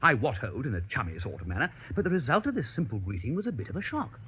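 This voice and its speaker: An actor playing an amiable, dithering young upper-class English gentleman, chummy and exclamatory, in a radio comedy drama. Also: medium pitch, wildly swooping pitch, rapid-fire, lo-fi sound, a little hiss or hum. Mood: wry storytelling. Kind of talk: first-person narration and radio comedy acting.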